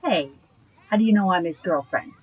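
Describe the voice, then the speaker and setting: A woman playing a sweet, playful young girlfriend from Canada in an audio drama, with a breathy, husky voice and a gently teasing manner. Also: lowish voice, expressive, normal speed, muffled recording. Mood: charmed, playful.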